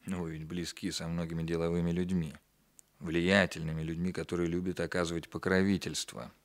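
An actor playing a composed, authoritative police captain in a radio drama, measured and commanding. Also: male voice, low voice, level tone, natural voice. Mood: cool, pressing. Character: composed, authoritative male carabinieri captain investigating crime. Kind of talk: radio drama dialogue and first-person narration.

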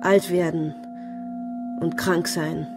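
A woman's voice: lowish voice, flat delivery, slow pace, loud music behind the voice. An actress playing a deluded, imperious woman with an erratic, haughty manner in a crime radio play. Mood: eerily composed. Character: deluded, unstable young woman with split personality, imperious.

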